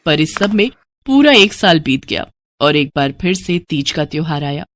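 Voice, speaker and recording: A woman narrating a story in a steady, even way. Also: sits low, normal speed, a little hiss or hum.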